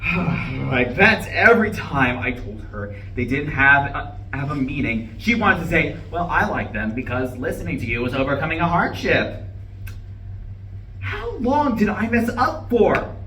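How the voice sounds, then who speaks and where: A man's voice: a little high, sing-song, a little slow, pushed and bright, full character voice. An actor in a live play reading, playing an anguished, regretful man who stammers and repeats himself. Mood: bitter, self-reproaching. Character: anguished, panicking man full of regret over lost love. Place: room at a live campus reading. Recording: roomy, distant live recording, faint noise.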